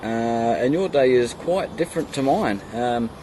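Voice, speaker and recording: An Australian man chatting casually as an amateur radio operator, pausing often, recorded outdoors. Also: medium pitch. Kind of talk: casual radio chat with spelled callsigns. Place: outdoors in a kayak on a creek. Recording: outdoor recording with steady background noise.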